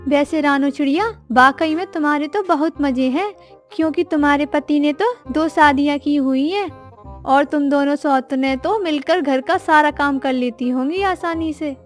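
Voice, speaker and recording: A woman's voice: high voice, normal speed, soft background music, natural voice. A woman voicing a discontented, scheming female crow who is a wife, with a dramatic, complaining manner, in a children's cartoon story. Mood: weary, complaining. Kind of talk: children's animated story acting.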